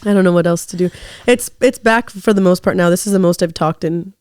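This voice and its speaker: Woman co-host with a cold, hoarse and stuffy, recorded close and dry. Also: fairly low pitch, a little fast, a little mellow, dry recording. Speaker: podcast co-host. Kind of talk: casual chat.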